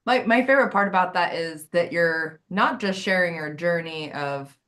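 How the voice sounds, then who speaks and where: A woman's voice: lowish voice, normal speed, a bit bright. A woman hosting a live interview, encouraging her guest and often checking in with "right?". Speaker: host. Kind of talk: casual interview chat.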